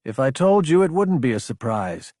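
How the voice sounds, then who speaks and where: A man voicing an earnest, restless young prince and pilot in audiobook dialogue, speaking in a gently coaxing way. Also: mid-range voice, very animated, normal speed, no echo, clean studio sound. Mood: teasing.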